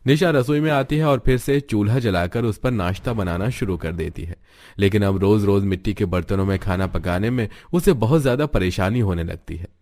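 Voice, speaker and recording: Low-voiced male story narrator. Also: brisk, darkish tone. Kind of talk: scripted cartoon story narration.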